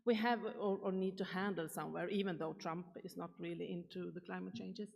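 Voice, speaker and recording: A woman's voice: on the low side, fairly even intonation, brisk, creaky, darkish tone. Swedish-accented woman giving a keynote. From Sweden. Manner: chatty, engages the audience with questions. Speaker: keynote speaker. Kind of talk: conference keynote talk. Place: large conference hall. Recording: reverberant hall.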